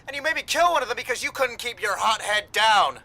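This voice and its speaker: A man acts out a desperate young gangland man in a radio drama, guilt-ridden and fiercely protective. The voice is bright and earnest, with lively, emotional swings in pitch. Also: a little fast, exaggerated voice. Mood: outraged, horrified.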